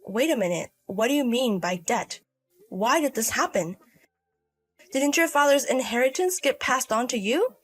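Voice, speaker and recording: A woman's voice: a little high, expressive, normal speed, breathy edge, faint music behind. A woman voicing a stern older mother and grandmother in a story drama, scolding and firm. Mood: concerned, questioning. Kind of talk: acted dialogue in a narrated drama story.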